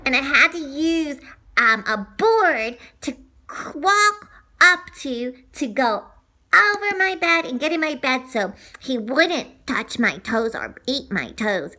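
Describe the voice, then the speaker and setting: Woman playing an excitable, high-pitched little boy. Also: exaggerated voice. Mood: excited, wary. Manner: exclamatory, dramatic, drawn-out words. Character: excitable young boy wary of an alligator. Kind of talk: children's storybook read-aloud.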